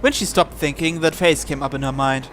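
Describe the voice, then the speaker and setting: A man with a slightly high voice narrates a visual novel as he plays. He reads aloud and sometimes trips over a word and repeats it. Faint music runs behind him. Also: a little fast, fairly bright tone. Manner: stumbles and repeats words while reading. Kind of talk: live read-aloud narration in English.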